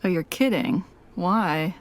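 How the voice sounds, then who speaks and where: An actress playing a curious, frank office colleague in an audio drama. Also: female voice, lowish voice, slow. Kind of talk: naturalistic audio drama acting.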